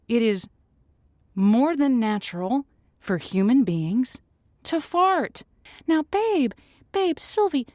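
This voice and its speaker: Comic call-in host: woman, slightly high register, conversational, emphatic, folksy, very dry sound. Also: mellow, no room sound. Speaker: advice show host.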